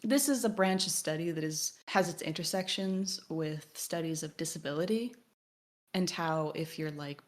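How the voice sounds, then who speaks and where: A woman's voice: low voice, normal speed. A woman co-hosting a podcast, discussing a game analytically in a thoughtful, academic way. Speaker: podcast co-host.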